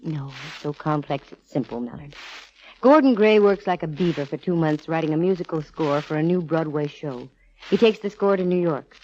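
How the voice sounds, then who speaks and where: An actress playing a confident, wisecracking female private detective in a brisk, wry 1940s radio style. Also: sits low, dark tone, lightly acted. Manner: brisk, wry, self-assured. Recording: dull, hissy old radio recording.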